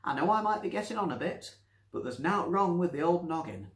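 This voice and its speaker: A man's voice: high-pitched, lively intonation, brisk, roomy sound, voice pushed up. A man voicing a feisty, chatty elderly widow with a Northern English accent, blunt and teasing, in a story reading. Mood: delighted, confident. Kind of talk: story reading with character voices.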